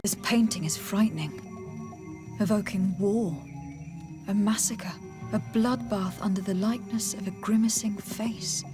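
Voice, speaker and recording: A woman's voice: medium pitch, measured pace, natural voice. Actress as young noble lady in a game, medium voice, earnest n grave, bit of music under it. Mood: troubled.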